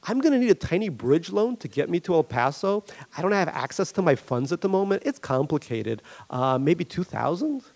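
A man's voice: on the high side, sing-song, moderate pace, few pauses, a little mellow, voice pushed up. A man voicing a self-pitying wealthy male fund man who pleads and defends himself. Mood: pleading.

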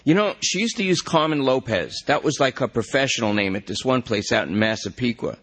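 A man's voice: medium pitch, animated, a little fast, a bit bright, pitched above the performer's own voice. A man voicing a jittery, rambling male junkie under arrest, whiny and pleading.

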